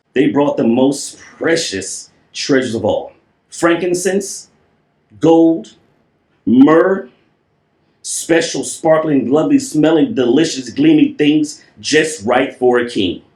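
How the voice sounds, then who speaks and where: Slow-paced man reading a storybook, roomy echo. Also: on the high side, a little mellow. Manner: playful, theatrical, stretches and repeats words for effect. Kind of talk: animated storybook read-aloud with playful asides. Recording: roomy, echoing voice recording.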